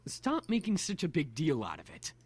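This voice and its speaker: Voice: mid-range voice, wildly swooping pitch, moderate pace, a little mellow, breathy edge. Actor doing a brash impulsive bad boy, cocky n grudging, voice jumps all over, visual novel style.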